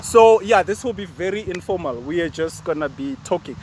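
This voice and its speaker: Brief: young host, a touch high-pitched, friendly and chatty, street noise around him. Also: male voice, expressive, a little fast, a little mellow. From South Africa, Limpopo. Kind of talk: informal interview chat. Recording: noisy outdoor recording with traffic. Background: street and vehicle noise.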